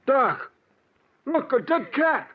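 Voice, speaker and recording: A man's voice: very high-pitched, sing-song, takes it slowly, full character voice. A man playing a nervous, folksy judge in a 1940s radio drama, hesitant and jumpy. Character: nervous, folksy judge tagging along on a search. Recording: thin, tinny 1940s radio recording.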